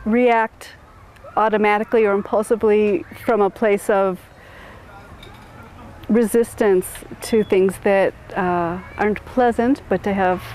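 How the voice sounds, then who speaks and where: A middle-aged woman from suburban Chicago, answering interview questions in a thoughtful, halting way with many restarts. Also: mid-range voice, fairly even intonation, darkish tone, slight background noise. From suburban Chicago, USA.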